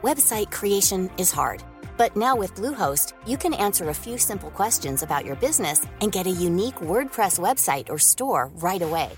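A woman's voice: mid-range voice, big pitch swings, normal speed. A woman reading a scripted advertisement in an upbeat, polished sales delivery. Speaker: ad announcer. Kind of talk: scripted ad read. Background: faint music.

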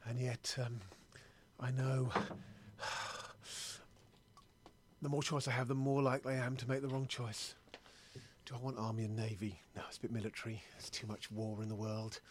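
A man's voice: mid-range voice, level tone, moderate pace, long pauses, a little hiss or hum, natural voice. A man playing an anxious middle-aged British sweet-shop customer in improvised comedy, rambling, hesitant and stammering as he doubts himself. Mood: fretful, musing. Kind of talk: improvised comedy monologue and sketch.

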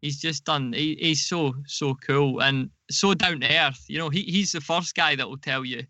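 A man's voice: a little high, normal speed, bright, forceful tone, no room sound. A man chatting casually as a podcast guest, informal and dryly humorous. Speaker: podcast guest, audio drama producer. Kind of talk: casual interview chat.

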